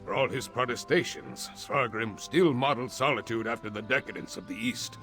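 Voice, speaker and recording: A man playing a gruff, disdainful traditionalist northerner in a fantasy video game. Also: mid-range voice, moderate pace, pushed and bright, natural voice. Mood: scornful. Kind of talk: video game character dialogue. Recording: game music behind.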